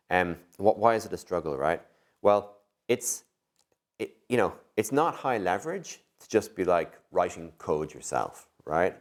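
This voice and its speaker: A man giving conversational interview answers as a tech executive, thinking aloud in an animated way with lots of "you know" and "like". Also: low-pitched.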